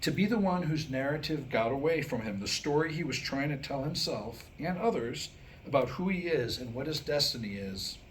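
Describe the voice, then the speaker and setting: An American man performing a staged comic monologue in a wry, self-deprecating way, with deliberate pauses around his punchlines. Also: medium pitch, lively intonation. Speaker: writer and performer reading his own new comic script. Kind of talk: staged comedic monologue to a live audience. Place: live staged reading before a small audience. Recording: noisy live room recording.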